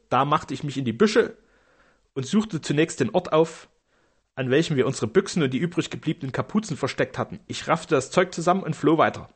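A male narrator voicing Juanito, a sly, loyal highway robber, telling his story boastfully and eagerly in a breathy voice.

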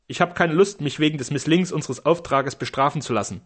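A sly highway robber who brags eagerly about his deeds, as a male reader puts him on. The voice sits higher and louder than the narration, with a breathy edge. A storybook outlaw, talkative and cocky. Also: fairly quick. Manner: boastful, eager.

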